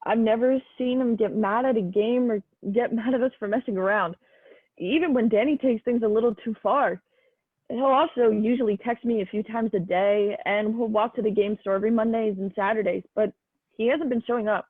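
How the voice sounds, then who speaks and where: A woman's voice: fairly high pitch, fairly quick, dry recording, lightly acted. A young woman acting in a student play as a quirky, kindly gamer, chatty and earnest. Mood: concerned. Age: young adult. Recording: tinny dry phone-like audio.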